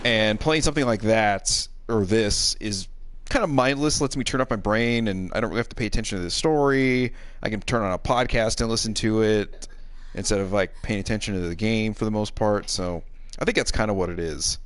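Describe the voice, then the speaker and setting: A man's voice: lowish voice, a little fast, no room sound. A man in his thirties casually rambling and thinking aloud as he commentates on a video game stream. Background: game music.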